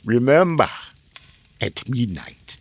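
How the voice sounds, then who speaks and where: Man playing a sinister, swooping, slow-talking scientist. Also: mid-range voice, sing-song, full character voice. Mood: calculating. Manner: formal, deliberate, clipped phrasing. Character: sinister, calculating male scientist selling macabre services. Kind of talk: old-time radio drama acting.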